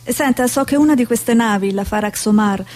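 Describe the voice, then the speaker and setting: An actress playing a determined, curious Italian TV reporter in a radio drama, probing and persistent. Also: female voice, a little high, fairly quick, a little mellow, lightly acted. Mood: probing, polite.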